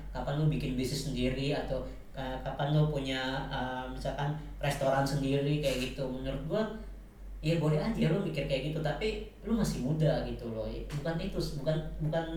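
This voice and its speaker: A man's voice: fairly high pitch, darkish tone. A man in his twenties with a Jakarta accent, giving casual, emphatic, slangy motivational advice and often repeating his points. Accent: Jakarta Indonesian. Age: 20s. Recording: echoey room, distant mic, faint noise.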